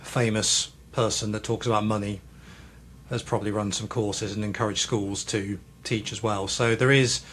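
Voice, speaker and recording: A man's voice: lowish voice, steady tone, moderate pace, fairly bright tone, a little hiss or hum. A British man in his thirties co-hosting a casual podcast, rambling and hesitant as he works through his thoughts. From Britain. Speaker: podcast co-host.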